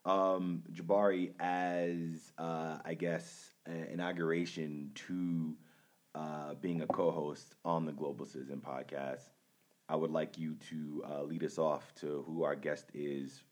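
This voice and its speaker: A man's voice: very deep voice, little pitch movement, slow pace. A man hosting a podcast in a laid-back way, with many filler words. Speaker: podcast host. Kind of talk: casual podcast talk.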